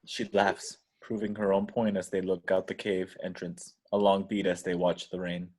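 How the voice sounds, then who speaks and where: A man's voice: low voice, little pitch movement, moderate pace, a little mellow. A man reading screenplay stage directions as scripted narration in an amateur table read.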